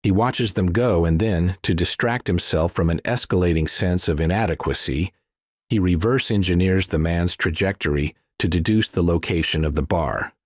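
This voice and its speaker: Low-voiced man narrating fiction. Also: normal speed, darkish tone, no echo, studio quality. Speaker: audiobook narrator.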